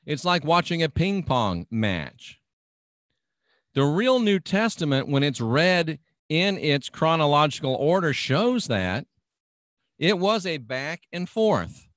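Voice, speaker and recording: Man, American accent, radio host, slow deliberate delivery, insistent preachy monologue, dry studio sound. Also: a little high, fairly bright tone, no room sound. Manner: emphatic, insistent, piles up repeated phrases.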